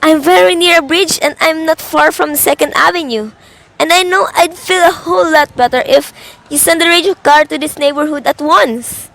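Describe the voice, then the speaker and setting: A woman's voice: sits high, moderate pace, gravelly, a bit bright, poor audio. A young woman playing an anxious, demanding invalid wife in a suspense radio drama, with a fretful and indignant manner. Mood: urgent, demanding. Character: anxious, demanding, self-important invalid wife, easily exasperated.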